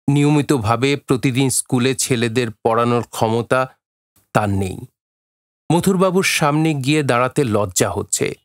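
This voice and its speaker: A man narrating a literary story for an audio drama in a measured, dramatic way. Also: mid-range voice, dry recording, studio quality. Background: faint music.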